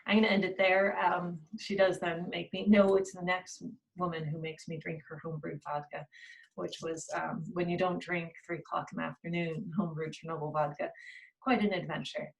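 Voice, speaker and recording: A woman's voice: fairly low pitch, little pitch movement, moderate pace, gravelly, recorded from a distance, poor audio. A woman author from California, reading from her book and then answering interview questions in a chatty way, with fillers and restarts. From California, USA. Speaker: author and journalist.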